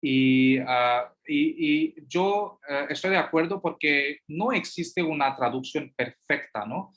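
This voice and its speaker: A man whose first language is Hindi speaks at an unhurried, slow pace. He pauses often, fills gaps and restarts phrases as he answers questions in a video call. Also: a little high, fairly bright tone. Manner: slow and halting, many fillers and restarts. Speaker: guest lecturer and translator.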